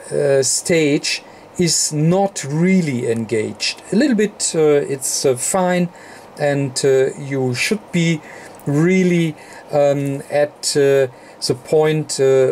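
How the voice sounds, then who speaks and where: A man with a non-native English accent giving an unscripted technical explanation as a tutorial presenter, haltingly, with very frequent ums and uhs. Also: fairly high pitch, a little mellow. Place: indoor electronics workbench. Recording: clear background noise.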